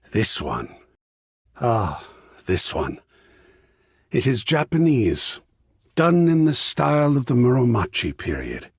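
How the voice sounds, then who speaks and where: A refined, effusive art connoisseur from a video game. His delivery is florid and theatrical, with pitch that leaps and dives wildly. The voice has a gravelly creak and sounds very dry and close. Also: no room sound, exaggerated voice. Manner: florid, theatrical, dramatic pauses and exclamations.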